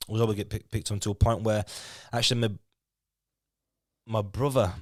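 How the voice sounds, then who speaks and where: A man's voice: on the low side, fairly even intonation, normal speed, darkish tone, no echo, studio quality. A man in his thirties from Lithuania with a Yorkshire accent and Lithuanian as his first language, a podcast guest talking candidly in a casual chat, swearing and restarting his words often. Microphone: podcast mic.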